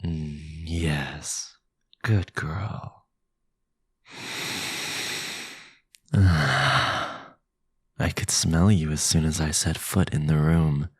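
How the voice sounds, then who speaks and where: A man playing a powerful, sinister vampire lord in an ASMR roleplay, possessive, silky and condescending, with a low, gravelly, breathy voice. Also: fairly even intonation, no echo, exaggerated voice. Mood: predatory, seductive.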